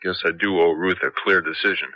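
A man's voice: low voice, steady tone, fairly quick. An actor playing an agitated, conscientious male American journalist in a 1970s radio drama. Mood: agitated, torn. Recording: dull, dry old radio recording.

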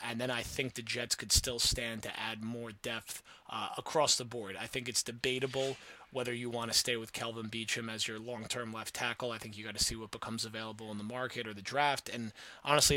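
A man's voice: medium pitch, level tone, brisk, pushed and bright. A man with an American accent hosting a sports podcast, giving analytical, opinionated takes. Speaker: podcast host.

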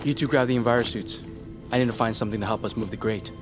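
A man's voice: fairly low pitch, steady tone, very fast, loud music behind the voice, close to an everyday voice. A man acting in an audio drama as a driven doctor, urgent and persuasive. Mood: insistent. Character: driven, urgent male doctor set on a risky plan. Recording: faint ambient noise. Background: faint ambience.

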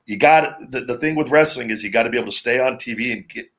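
A man with a deep, heavy, booming voice, hosting a podcast in a loose, rambling, talkative way. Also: fast, fairly bright tone. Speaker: podcast host, former pro wrestler. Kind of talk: casual podcast chat.

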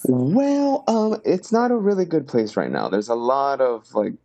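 A young adult man from Miami, a drag performer guesting on a podcast, chatting playfully with campy quick wit. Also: medium pitch, sing-song, dark tone, muffled recording. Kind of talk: casual chat.

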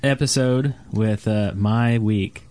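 A man's voice: fairly low pitch, steady tone, slow, darkish tone. A man hosting a casual group podcast in a loose, hesitant, improvised way. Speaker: podcast host.

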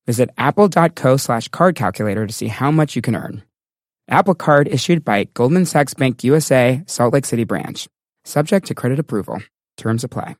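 A man reading a scripted advertisement with a smooth, even sales delivery. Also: mid-range voice, normal speed, no echo, studio quality. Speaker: ad announcer. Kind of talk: scripted ad read.

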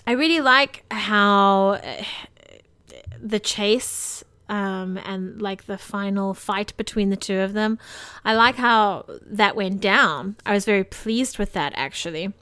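A woman's voice: mid-range voice, slow, sharp tone, clean tone, dry recording, studio quality. A woman co-hosting a podcast, talking in a casual, informal and meandering way about movies. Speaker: podcast co-host. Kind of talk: casual movie discussion chat.

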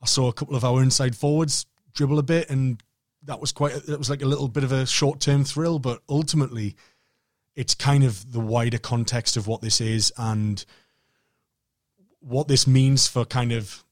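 Man, Northern English accent, mid pitch, halting and repetitive, dry close sound. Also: steady tone, dry recording. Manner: halting, repeats words while thinking aloud. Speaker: podcast co-host. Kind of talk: casual podcast chat.

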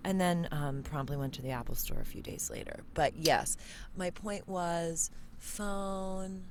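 A woman with a low voice chats on a podcast from her home recording setup. She rambles and laughs often. Faint noise sits behind her. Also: fairly even intonation, unhurried. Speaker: podcast guest. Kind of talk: casual podcast chat. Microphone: studio mic with headphones.

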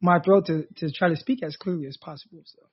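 A man co-hosting a casual sports podcast, with a raspy voice and a laid-back manner, leaning on "you know". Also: fairly high pitch, fast, lo-fi sound. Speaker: podcast co-host.

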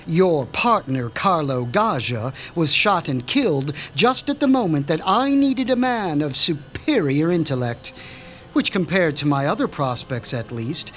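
A menacing mad doctor. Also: male voice, fairly high pitch, a bit bright. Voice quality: measured, menacing, pompous. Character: man, a sinister mad scientist doctor seeking revenge. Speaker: Dr. Stein. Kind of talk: radio drama acting.

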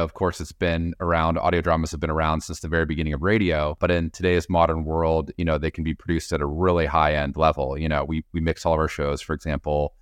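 Smooth, very low-pitched man. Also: dry recording, clean studio sound. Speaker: podcast industry executive interviewed as guest. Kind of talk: conversational interview answers.

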